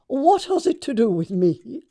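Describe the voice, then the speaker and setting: An actress playing an imperious, haughty upper-class English society matron, pompous and emphatic, in an audio production of a stage comedy. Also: female voice, sits high, dark tone, full character voice. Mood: indignant. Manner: pompous, emphatic, deliberate enunciation.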